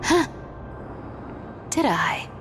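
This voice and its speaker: A woman's voice: extremely slow, very noisy. An actress playing a sly, world-weary female thief from a video game, with a nonchalant, teasing manner. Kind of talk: video game character acting. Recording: game music behind the voice.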